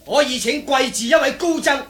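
A man's voice: very high-pitched, normal speed, fairly bright tone. A man with a Cantonese accent playing an aggrieved, accusing beggar clan elder, declamatory and indignant, in a martial arts radio drama.

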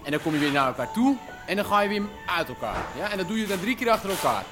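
An actor playing a testy young strip-club choreographer in a radio drama, blunt and crude, with an Amsterdam accent. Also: male voice, high-pitched, big pitch swings, fast. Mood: instructing. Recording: music playing behind the voice.

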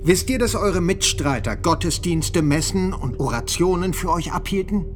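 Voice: mid-range voice, lively intonation, normal speed, natural voice. An actor playing a stern, probing church interrogator in a historical radio play, putting questions in a formal, insistent way. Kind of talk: serious historical radio drama. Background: music.

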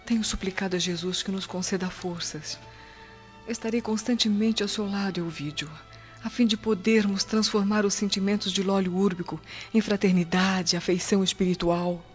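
A gentle, devoted, hopeful daughter played by a woman speaks earnestly in radio drama style. The audio is dull, with music behind. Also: mid-range voice, steady tone, moderate pace, lightly acted. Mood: earnest, loving. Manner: earnest, formal.

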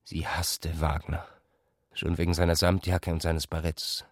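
Deep male literary narrator, very dry. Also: level tone, a little slow, no echo. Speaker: narrator of a radio literary adaptation.

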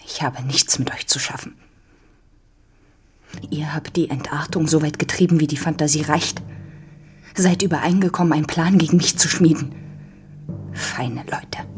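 A woman's voice: low voice, natural voice. An actress playing a hard, domineering rich farm woman in a literary radio drama, stern, commanding and scornful. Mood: scornful, hushed.

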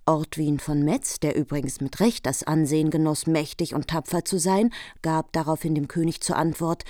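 An actress narrating a medieval heroic saga in a radio play, in composed literary prose. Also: female voice, deep voice, darkish tone, no echo, studio quality, close to an everyday voice.

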